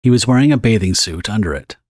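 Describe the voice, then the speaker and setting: A man reading scripted, dramatic story narration for an audio drama in a husky voice. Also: on the low side, lively intonation, fast, a little mellow, dry recording, studio quality.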